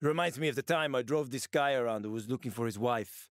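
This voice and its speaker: A man's voice: medium pitch, brisk, dry recording, studio quality, lightly acted. An actor playing a wry, streetwise male survivor and former cab driver in a video game, colloquial and sweary, with an urgent manner. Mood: wry, reflective. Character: wry, streetwise male ex-cabbie survivor, fatherly yet shifty. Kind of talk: video game character dialogue.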